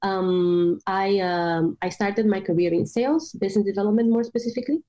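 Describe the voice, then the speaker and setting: A woman's voice: medium pitch, normal speed, dark tone, lo-fi sound. A woman from Romania in her late twenties, speaking as an interview guest with many hesitant fillers. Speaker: guest, startup product manager. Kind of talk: remote interview.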